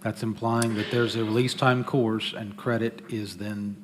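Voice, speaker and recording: A man with a low voice answers a question at a public meeting. He explains his view haltingly, with pauses and fillers. A faint hiss runs under his voice. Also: level tone.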